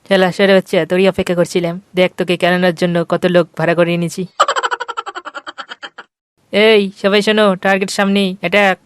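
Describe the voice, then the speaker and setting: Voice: mid-range voice, little pitch movement, fairly quick, long pauses, clear, resonant tone, no room sound, close to an everyday voice. A performer voicing a shameless, aggrieved freeloading neighbour in a comic cartoon, brazen and combative. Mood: menacing. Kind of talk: exaggerated comic cartoon dialogue.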